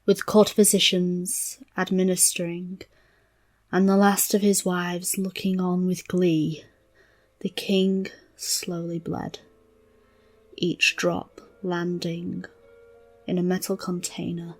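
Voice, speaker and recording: A woman narrating a dark tale in a solemn, measured, theatrical storytelling style. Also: fairly low pitch, little pitch movement. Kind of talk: dramatic scripted story narration. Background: instrumental music.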